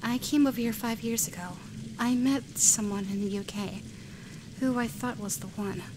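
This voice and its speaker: A woman's voice: a little high, normal speed, noisy background, lightly acted. A woman with an American accent playing a sad, sympathetic young woman in an amateur radio play. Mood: gentle, wistful.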